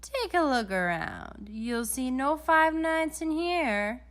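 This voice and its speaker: A woman's voice: sits high, expressive, slow pace, a bit bright, voice pushed up, exaggerated voice. Woman acting male villain doctor, storybook, sly, purring, falsely courteous. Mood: smooth, welcoming. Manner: purring, hesitant politeness. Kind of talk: children's storybook read-aloud.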